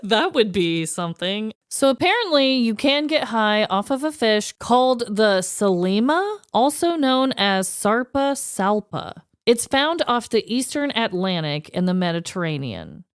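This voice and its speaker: A woman co-hosting a podcast in casual, jokey chat, informal and quick to laugh. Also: fairly high pitch, very animated, smooth, dry recording, studio quality. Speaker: podcast co-host. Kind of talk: casual comedic chat with a prepared list.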